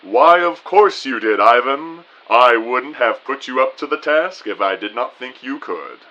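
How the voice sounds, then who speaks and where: Man playing a slow, booming, jovial boss. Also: expressive, sharp tone, dull audio. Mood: jovial, hearty. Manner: pompous, genteel, formal phrasing. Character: booming, jovial, courteous male agency boss. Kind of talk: audio drama dialogue.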